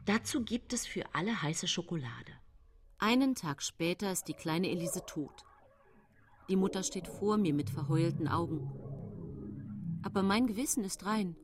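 An actress playing a coldly scheming woman poisoner who confesses her own case in a measured radio drama monologue. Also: on the low side, expressive, background noise, lightly acted. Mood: detached. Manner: measured, deliberate first-person telling.

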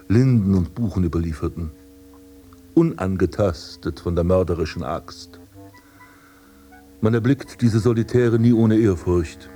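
An actor playing a vain, scornful prince and landscape gardener in a radio drama, speaking in pompous, ornate declamation. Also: male voice, fairly low pitch, dark tone, lightly acted. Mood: solemn, declaiming. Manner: pompous, declamatory, ornate old-fashioned diction. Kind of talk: literary radio drama acting, monologue and dialogue.

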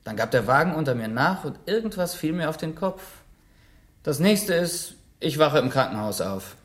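A man's voice: a little high, big pitch swings, moderate pace. A man acting an evasive, cocky drifter accused of murder in a German radio drama, terse and mock-polite. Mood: guarded, earnest.

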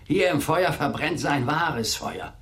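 Capricious theatrical gentleman, very wide swooping pitch, declamatory, savouring words, radio play. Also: expressive, slow. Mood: savouring, wry. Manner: declamatory, savouring words, swinging from murmur to call. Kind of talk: German radio play acting.

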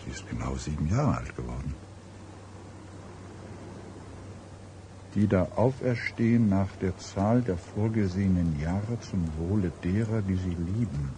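Deep-voiced, sombre police detective. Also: male voice, animated, background noise. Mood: musing. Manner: halting, grave, musing pauses. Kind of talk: German radio drama acting.

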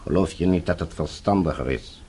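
Menacing middle-aged official, radio drama, very low husky voice, measured, condescending, formally polite. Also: male voice, a little mellow. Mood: measured, conciliatory. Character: smooth-talking, calmly menacing middle-aged Eastern Bloc official. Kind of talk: Dutch radio play acting.

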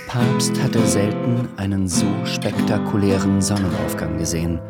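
A man narrating a radio drama in a measured, literary voice. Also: low voice, steady tone. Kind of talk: scripted narration. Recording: with guitar score.

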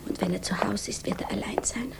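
An actress playing an anxious, doubting woman in love, in a breathy whisper, as an inner voice in a 1950s radio drama. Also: mid-range voice, brisk, close to an everyday voice. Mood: agitated, doubting.

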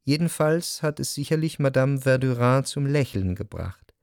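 An actor playing a reflective, wry memoir narrator in a radio drama, with measured and deliberate delivery. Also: male voice, mid-range voice, animated, gravelly, darkish tone, no echo, studio quality. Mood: observant. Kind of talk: scripted literary narration.

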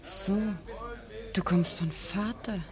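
A woman's voice: mid-range voice, fairly even intonation, slow pace, crowd noise, natural voice. An actress playing a sober, concerned woman with a down-to-earth, questioning manner in a German radio play. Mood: inquiring. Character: sober, concerned woman, solitary artist's daughter.

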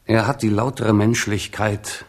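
A sardonic balladeer in a radio drama. The rhymed verse comes very slowly, in a fairly low male voice. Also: flat delivery. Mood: sardonic, solemn.

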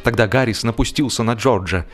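Male narrator of humorous literature, mid-pitched. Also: a little fast. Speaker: audiobook narrator.

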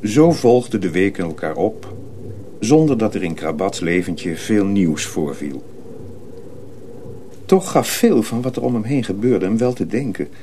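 A man narrates a story for a radio play in a very low voice. His delivery is measured yet highly expressive in pitch, with faint noise and ambience behind him.

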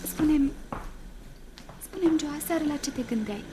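An actress playing a coy, lovestruck young woman from a good family, girlish and often breathy, in radio theatre style. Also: high-pitched, expressive, background noise. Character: beautiful young councillor's daughter, coy and lovestruck. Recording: studio radio play with loud music.

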